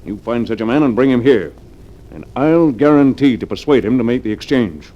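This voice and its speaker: An actor playing a domineering, scheming wealthy fugitive in middle age, brusque and commanding, in 1940s radio drama style. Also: male voice, mid-range voice, lively intonation, brisk, warm tone, close to an everyday voice. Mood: forceful, impatient. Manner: brusque, commanding, self-assured. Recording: dull, lo-fi 1940s radio recording.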